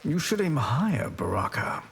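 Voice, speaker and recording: A man's voice: on the high side, very animated, normal speed, heavy background noise. A man voicing a smug, amoral sorcerer villain in a video game, formal and mocking in manner. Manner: mocking, smooth-tongued, formal. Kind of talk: video game fighting character dialogue.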